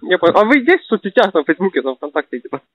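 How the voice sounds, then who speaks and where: A man making a casual phone call as a caller, speaking in halting, hesitant phrases. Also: high-pitched, big pitch swings, no echo. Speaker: caller, undercover reporter. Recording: dry narrowband phone-call recording.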